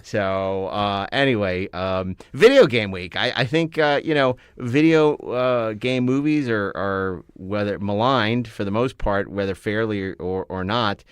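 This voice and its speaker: A man's voice: on the low side, expressive, measured pace, few pauses, clean tone, no room sound, studio quality. A middle-aged man hosting a casual film chat with wry, self-deprecating humour. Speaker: podcast host.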